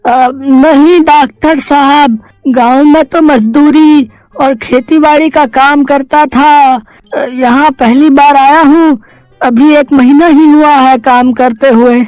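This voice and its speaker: Man voicing a high-pitched, worried poor village labourer. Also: steady tone, slow pace, darkish tone, no room sound, faint music behind. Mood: hesitant, explaining. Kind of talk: Hindi moral-story cartoon dubbing.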